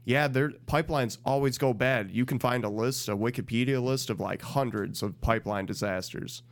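A man co-hosts a radio show and explains the topic in a measured, plain way. His voice is smooth and resonant, pitched at a middle level for a man. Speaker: radio co-host. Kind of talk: casual explanatory chat.

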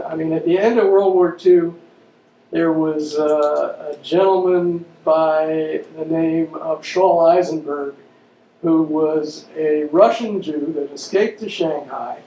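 A man with a fairly high, mellow voice lectures slowly, filling his pauses and restarting his sentences. He sounds far from the mic in a roomy hall. The recording is dull and carries a faint hiss. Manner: halting, many fillers and restarts. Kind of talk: unscripted public lecture. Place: lecture room.

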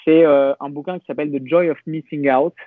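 Young man, slightly high voice, reflective and halting, thin phone-like sound. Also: steady tone, dry recording. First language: French. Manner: reflective, hesitant with many restarts and fillers. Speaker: podcast guest. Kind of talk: casual conversational interview. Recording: dry, phone-like narrow sound.

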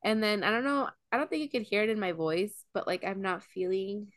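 A woman's voice: medium pitch, lively intonation, fairly quick, dry recording, studio quality. A young woman co-hosting a casual podcast, telling stories between friends, full of "like" and "um," with a cold coming on. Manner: chatty storyteller, lots of "like" and "um". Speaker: podcast co-host.

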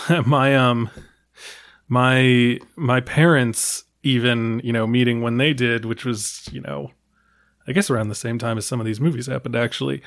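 A man's voice: medium pitch, steady tone, normal speed, creaky, no echo, studio quality. Chatty joking man on a podcast. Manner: chatty, jokey, mock-quotes his family. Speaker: podcast host. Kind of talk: casual film discussion.

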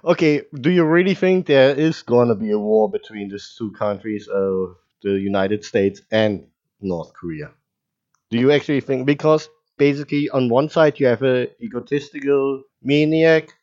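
A man's voice: mid-range voice, moderate pace, darkish tone. A man co-hosting a podcast in casual chat, rambling loosely and laughing often. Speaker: podcast co-host. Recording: dull lo-fi call audio.

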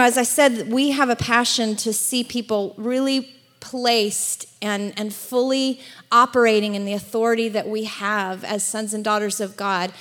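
A woman's voice: on the high side, a bit bright. Casting: middle-aged Southern woman, chatty church speaker, long hall reverb. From Alabama. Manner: chatty, upbeat, laughs often. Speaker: guest speaker. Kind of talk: church address. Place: church sanctuary.

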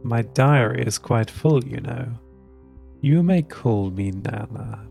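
A sweet, doting old woman's voice put on by a male narrator crackles out of a broken speaker, thin and slow. It drags out each kindly word with a gravelly edge. Soft music plays faintly underneath. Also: medium pitch, lively intonation, full character voice. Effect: crackly poor connection.

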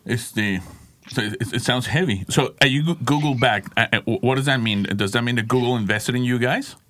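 A man co-hosting a podcast, asking questions in a casual, halting way with many restarts. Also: medium pitch, a bit bright. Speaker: podcast co-host.

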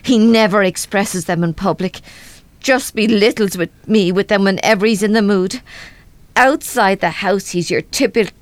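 A woman's voice: medium pitch, moderate pace, no room sound, close to an everyday voice. An actress playing an anxious, worn-down, self-doubting mother in a radio drama. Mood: bitter. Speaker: radio play actor. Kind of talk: live radio drama acting.

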